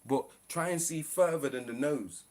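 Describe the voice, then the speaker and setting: A man's voice: a little high, moderate pace, darkish tone. A man ranting on a live stream, in a profane, preachy, fired-up way.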